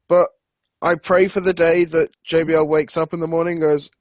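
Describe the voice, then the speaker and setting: A man's voice: on the high side, level tone, fairly quick, no room sound, muffled recording. A man co-hosting a wrestling podcast, adding short, easygoing comments in casual chat. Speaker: podcast co-host.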